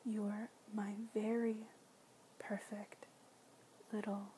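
A woman whispering softly in an ASMR roleplay, soothing and tender, with gentle pet names and quiet repeated reassurances. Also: medium pitch, level tone, drawn-out words, slight background noise, rough recording. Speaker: audio roleplay performer.

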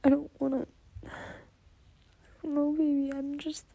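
A young woman speaking in an intimate, whispery monologue, halting and apologetic, anxious and close to tears. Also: sits high, little pitch movement, dark tone, no echo, muffled recording. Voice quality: breathy, whispery voice. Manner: halting, apologetic, stammering repetitions. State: anxious, tearful, close to crying.

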